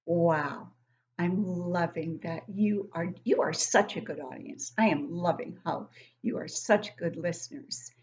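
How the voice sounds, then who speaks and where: A woman's voice: lowish voice, measured pace, a little mellow, dull audio, slight background noise. A middle-aged woman hosting an interactive picture-book read-aloud for young children, encouraging them with questions and exclamations. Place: living room at home, indoors. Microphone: webcam at desk distance.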